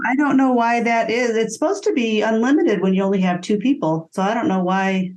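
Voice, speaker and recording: A woman's voice: a little high, fairly quick, darkish tone. A woman co-hosting an informal interview over a video call, chatting casually. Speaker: interview co-host.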